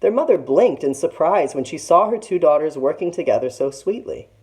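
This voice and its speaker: A woman teacher reading a children's story aloud in an expressive narrating style. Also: low voice, brisk.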